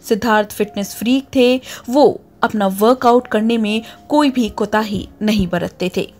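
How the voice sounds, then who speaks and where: A woman presenting a scripted news report as a voice-over, with a steady, formal newsreader delivery. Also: mid-range voice, normal speed.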